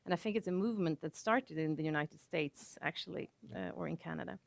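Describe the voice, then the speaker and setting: A woman introducing a speaker at an event, speaking haltingly with pauses. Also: deep voice, creaky, no echo, clean studio sound. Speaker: event host.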